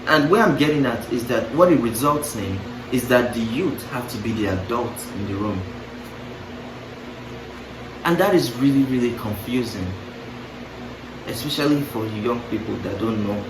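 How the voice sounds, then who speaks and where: A young man musing casually to camera, breaking off into playful asides and restarting his thoughts. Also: lowish voice. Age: young adult. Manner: musing, self-interrupting, playful asides with laughs. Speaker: vlogger. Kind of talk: casual reflective monologue to camera. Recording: roomy, distant mic, clear background noise.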